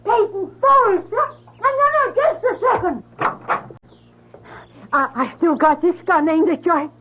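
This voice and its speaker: A woman's voice: very high-pitched, measured pace, a little hiss or hum. An actress playing a blunt, stubborn country woman with a Southern US accent, defiant with a rifle, in an old-time radio drama. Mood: alarmed, threatening. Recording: dull old radio recording.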